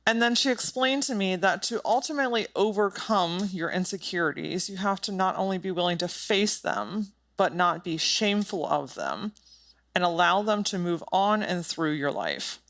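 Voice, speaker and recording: A woman's voice: lowish voice, lively intonation, normal speed, a bit bright. A woman hosting a solo motivational podcast, speaking in an emphatic, direct and informal way with some casual swearing. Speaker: podcast host.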